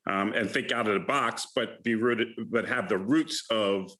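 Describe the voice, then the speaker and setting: An American man in his fifties from the Bronx gives reflective interview answers, often halting as he searches for his words. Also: lowish voice, bright, forceful tone. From the Bronx, New York City, United States. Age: 50s. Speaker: alumni guest, retired finance professional.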